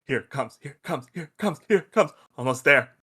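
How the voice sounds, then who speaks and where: A man's voice: medium pitch, brisk, breathy edge, full character voice. A man playing a crude, teasing space probe who loves football and shows off as he explains, in a table-read audio drama. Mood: playful. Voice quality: animated, swooping delivery. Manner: foul-mouthed, teasing, showy explainer.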